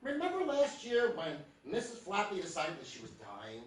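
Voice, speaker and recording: A woman's voice: lowish voice, wildly swooping pitch, normal speed. A woman playing an easygoing radio station manager who shrugs off trouble, in a live stage comedy. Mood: breezy. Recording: very echoey theatre, distant mic.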